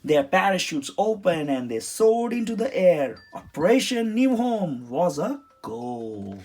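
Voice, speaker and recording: Animated man reading a children's storybook. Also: high voice, measured pace. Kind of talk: read-aloud storybook narration. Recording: music behind the voice.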